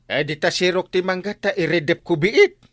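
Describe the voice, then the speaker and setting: A man's voice: high voice, normal speed, fairly bright tone. A man acting a lazy, sleepy, grumbling village captain in a radio comedy drama, with an Ilocano accent.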